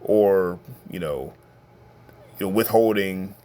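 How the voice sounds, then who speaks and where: A middle-aged man with a deep, bassy voice giving an informal advice monologue, talking conversationally and stressing his points. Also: takes it slowly, mellow. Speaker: podcast host giving family advice.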